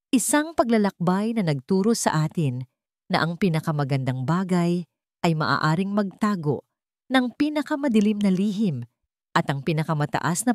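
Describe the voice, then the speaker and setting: Low-voiced Filipino woman narrating with expressive swings. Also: dry recording, clean studio sound. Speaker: audiobook narrator. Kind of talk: dramatic scripted story narration.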